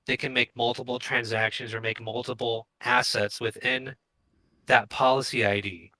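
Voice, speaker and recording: Male stream co-host, conversational explainer. Mid-pitched, strikingly sharp and bright tone. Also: level tone, moderate pace, rough recording. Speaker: co-host of a crypto show. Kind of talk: casual explanatory livestream talk.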